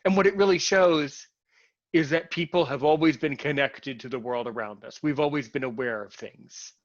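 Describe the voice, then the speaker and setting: A man's voice: fairly high pitch, moderate pace, no room sound. A man hosting a casual conversation, who rambles and restarts his sentences a lot. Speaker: host. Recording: muffled, lo-fi, dry sound.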